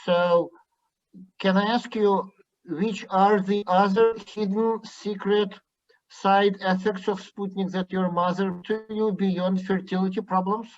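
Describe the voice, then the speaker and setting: A man speaking on a roundtable panel over a video call, deliberate and sardonic, repeating his points to drive them home. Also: high voice, flat delivery. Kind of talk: roundtable discussion. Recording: muffled video call audio.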